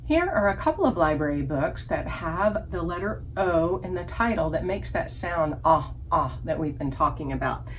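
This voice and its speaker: A woman's voice: lowish voice, lively intonation, normal speed, few pauses, a little hiss or hum. A woman leading an online story time for young children as a librarian, giving simple instructions and asking them questions. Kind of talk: children's online lesson with songs.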